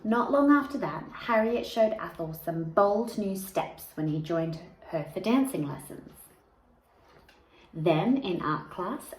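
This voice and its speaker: A woman reading a children's picture book aloud like a teacher, expressively and deliberately, with emphasis on key words and asides to young listeners. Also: medium pitch, a little mellow. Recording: echoey room with faint background noise.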